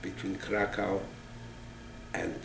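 Interviewee: man in his eighties, Polish accent, gravelly recollections, recorded in a roomy, noisy space. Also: deep voice, completely flat delivery, slow pace. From Poland. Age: 80s. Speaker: interviewee, Holocaust survivor. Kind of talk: oral history recollection. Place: small office indoors, seated. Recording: roomy, noisy, distant microphone.

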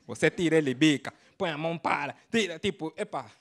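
A man in his thirties with an Angolan Portuguese accent, doing a stand-up set on a comedy club stage into a handheld mic, swinging from loud bursts to low slangy asides. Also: brisk. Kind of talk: stand-up routine.

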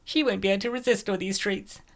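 A woman's voice: medium pitch, fairly even intonation, fast talker, lightly acted. A woman voicing a scheming, gluttonous young gym woman in a comic dub, coaxing and smug.

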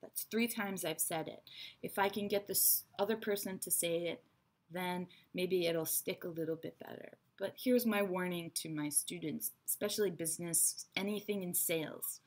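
A woman in her thirties, raised between Israel and America, giving a chatty, frank English lesson to her webcam in a small bright room. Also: fairly low pitch, moderate pace. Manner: chatty, frank, teacherly asides. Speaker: English teacher. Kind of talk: online English lesson to camera. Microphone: webcam at desk.

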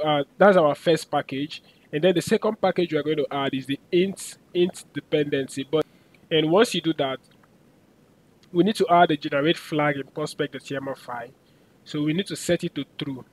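Give me a man walking through a coding tutorial in a chatty way, slightly high voice. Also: low-quality recording. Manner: chatty, fills with "uh" and "okay". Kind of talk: screen-recorded coding tutorial.

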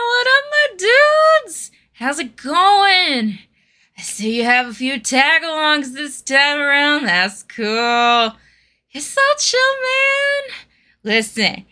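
Chill young bro hustler, played by a woman, drawling, chummy, pitch swooping high, fantasy game character. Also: pushed and bright, heavily acted. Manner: drawling, chummy surfer-dude slang.

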